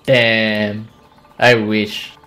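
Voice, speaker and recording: A man in his twenties playing and chatting casually, animated and swearing freely. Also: lowish voice, drawn-out words, faint music behind. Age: 20s. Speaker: co-player. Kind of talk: casual gaming chat.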